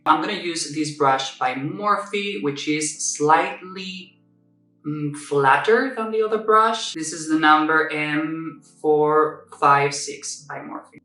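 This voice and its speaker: A man's voice: a little high, takes it slowly. Young Spanish man explaining makeup. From Spain. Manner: chatty, explains step by step, self-correcting. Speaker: makeup vlogger. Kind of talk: casual makeup tutorial. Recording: echoey room, somewhat far from mic. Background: soft music.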